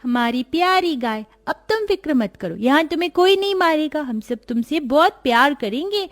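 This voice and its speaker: A woman's voice: sits high, expressive, moderate pace. A woman voicing a caring young village child in a cartoon story, speaking eagerly and tenderly.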